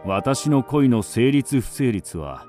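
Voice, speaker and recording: Slow, expressive male writer-narrator. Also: medium pitch, soft background music. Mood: opinionated, wry. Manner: deliberate, argumentative, dryly ironic. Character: wry, self-assured male writer narrating his memories. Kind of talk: scripted radio drama narration.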